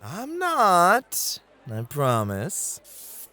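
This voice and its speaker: A man playing a flirty, gentlemanly club host who teases and gently coaches in an ASMR roleplay, with courteous, theatrical phrasing. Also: high-pitched, wildly swooping pitch, drawn-out words, heavily acted. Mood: playful, encouraging.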